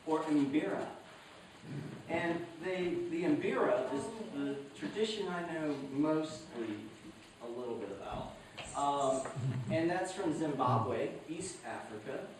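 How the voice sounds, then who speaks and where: A man's voice: a little high, slight background noise. A man telling stories live to listeners in an informal, folksy style. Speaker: storyteller and musician. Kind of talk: live folk-tale storytelling, informal introduction. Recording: very echoey, distant microphone.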